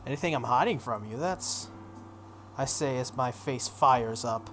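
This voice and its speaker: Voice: mid-range voice, unhurried, fairly bright tone, soft background music. A video game playthrough host reading a story aloud dramatically, with casual offhand asides. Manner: acts out dialogue, breaks off for offhand comments.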